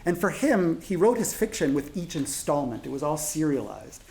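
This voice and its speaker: Male panelist in his 40s, somewhat high voice with gravel, halting delivery with lots of fillers. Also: sing-song, dark tone, poor audio. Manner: halting with many fillers and restarts. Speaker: panelist translator. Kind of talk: panel discussion.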